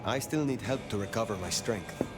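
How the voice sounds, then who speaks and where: A man voicing a solemn elder vampire in a video game, formal, measured and grave. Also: fairly low pitch, in a large hall, over loud music, close to an everyday voice. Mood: reserved. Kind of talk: video game character acting.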